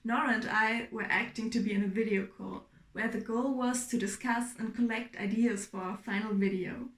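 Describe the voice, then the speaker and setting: A young woman from Germany giving a clear, explanatory class presentation as a student. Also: fairly high pitch, fairly even intonation, echoey room. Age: young adult. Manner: clear, explanatory, measured with fillers.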